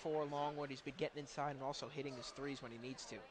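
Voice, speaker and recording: A man's voice: medium pitch, fairly even intonation, fast, crowd noise. A man giving live color commentary and stats on a basketball broadcast. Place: courtside in an indoor arena.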